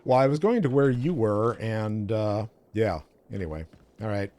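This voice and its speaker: Low, mellow man chatting while gaming. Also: moderate pace. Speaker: gaming show co-host. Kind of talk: casual gameplay chat.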